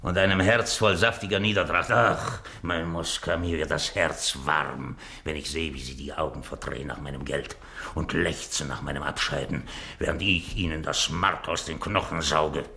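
A man's voice: rumbling low, fairly even intonation, normal speed, gravelly, bright, forceful tone, heavily acted. An actor playing a gloating, miserly Venetian schemer in a German radio drama, speaking theatrically and savouring his words. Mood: gleeful, scheming. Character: gloating, miserly rich Venetian schemer who feigns dying.

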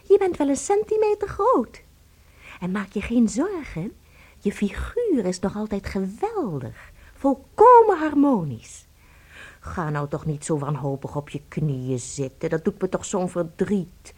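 A woman's voice: medium pitch, sing-song, a little slow, warm tone, slightly rough. An actress in a radio play playing a doting wife who coos tenderly and exclaims as she fusses over her husband. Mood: consoling, tender.

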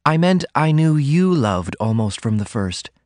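A man voicing a shy, tender young woman in love, speaking softly and earnestly as in an audiobook. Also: mid-range voice, very animated, a little slow, dry recording, studio quality, pitched above the performer's own voice. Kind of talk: audiobook character dialogue.

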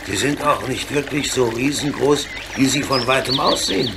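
An actor playing a practical, good-natured train driver in a radio play, deliberate and plain-spoken. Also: male voice, mid-range voice, background noise, lightly acted. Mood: calm, curious.

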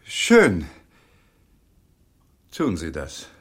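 Radio play: anxious husband with guilt, very lively pitch. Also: male voice, extremely slow, heavy background noise, heavily acted. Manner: brooding inner monologue, murmured to himself. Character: nervous, guilt-ridden middle-aged husband. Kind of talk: 1980s radio drama acting.

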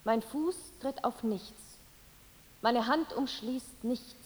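An actress playing a devoted lover in a radio play, delivering a solemn, incantatory poetic monologue. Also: female voice, on the high side, takes it slowly. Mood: solemn, yearning. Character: solemn, devoted woman lover chanting into nothingness. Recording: long cavernous reverb.

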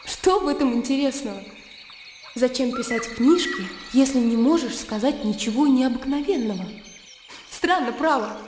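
An actress playing a naive, blunt young country gentlewoman in a Russian radio drama, opinionated and emotional. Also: high-pitched, moderate pace, a little hiss or hum, natural voice. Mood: animated, opinionated. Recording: roomy long reverb with music behind.

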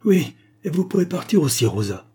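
Guy doing a bitter snooty lady from an audiobook, high for him, husky, hard and accusing. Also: brisk. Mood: angry. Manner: sharp, indignant, hard-toned. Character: vindictive, haughty older woman, quick to accuse.

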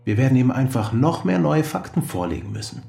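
A man's voice: medium pitch, sing-song, brisk, creaky, mellow. An actor playing an earnest, persuasive male friend in a radio drama.

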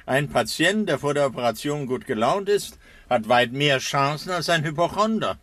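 An actor playing a smug, courteous, menacing middle-aged doctor in an early 1960s German radio crime play. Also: male voice, fairly high pitch, lively intonation, moderate pace, a bit bright. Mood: smugly amused. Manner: suave, mock-courteous, self-satisfied. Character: smug, politely menacing mad brain surgeon, father of an adult daughter. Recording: noisy old radio drama recording.

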